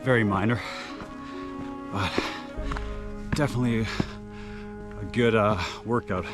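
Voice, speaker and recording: A man with a naturally loud voice giving casual commentary outdoors on a mountain trail, relaxed, with many filler uhs. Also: on the low side, measured pace. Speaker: trail running vlogger. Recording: music playing behind the voice.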